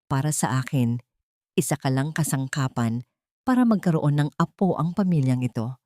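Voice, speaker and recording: A cold, contemptuous older matriarch in an audio drama, acted by a woman, speaking low and sarcastically. The sound is dry and close, and every sentence comes out as a sharp command from a wealthy mother-in-law. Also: normal speed, no room sound, close to an everyday voice. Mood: sarcastic contempt.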